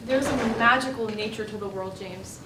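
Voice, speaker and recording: A woman's voice: mid-range voice, normal speed, lightly acted. A woman acting on stage as an opinionated, frustrated woman arguing her side earnestly and emphatically. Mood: earnest, insistent. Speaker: stage actor. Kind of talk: stage play dialogue. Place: indoor stage, recorded from afar. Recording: echoey stage recording, distant mic, noisy.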